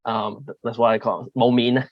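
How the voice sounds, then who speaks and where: Man with a Cantonese accent, panel guest, halting delivery; dry, muffled call audio. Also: mid-range voice, flat delivery, no echo. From Hong Kong. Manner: hesitant, many fillers and restarts. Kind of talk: livestream panel discussion.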